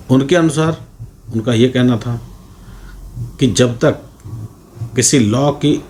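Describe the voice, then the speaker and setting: Indian male lecturer, mid-range pitch, formal and deliberate, explanatory delivery, frequent pauses, faint ambient noise. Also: a little mellow. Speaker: law lecturer.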